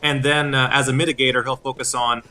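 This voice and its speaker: A man in his thirties hosting a game walkthrough, explaining things in a halting way. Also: mid-range voice, little pitch movement, bright, forceful tone. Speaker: video series host. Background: game music.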